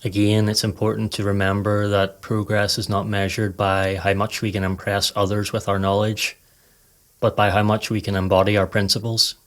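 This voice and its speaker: A man narrating a scripted guided meditation in a calm, measured, instructive way. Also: fairly low pitch. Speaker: podcast host and meditation guide.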